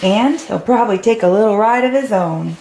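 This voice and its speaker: A woman's voice: mid-range voice, fairly quick, dark tone. A woman reading a storybook aloud to young children. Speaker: storybook reader. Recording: roomy, echoey recording.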